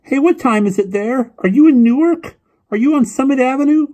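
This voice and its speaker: Man playing a high-pitched, lonely, eager little boy. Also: lively intonation, fairly quick, warm tone. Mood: eager, questioning. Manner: guileless, rambling, repetitive, full of questions. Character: lonely, eager, stunned young boy on the phone.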